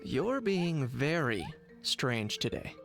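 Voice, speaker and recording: Slow, deadpan young man. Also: medium pitch, vocal fry, soft background music, exaggerated voice. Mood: dry, suspicious. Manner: deadpan, deliberate. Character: cool, stoic young man, dryly observant. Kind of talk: video game voice acting.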